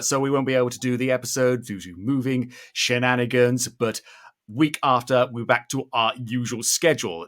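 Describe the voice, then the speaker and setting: A man hosts a podcast with plenty of enthusiasm and a playful streak. His pitch moves around a great deal and his tone is bright and punchy. The delivery is upbeat throughout. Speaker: podcast host.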